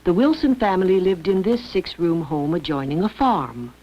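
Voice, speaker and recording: A woman's voice: fairly low pitch, expressive, normal speed. A woman reading scripted narration for an educational film. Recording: dull lo-fi old educational film.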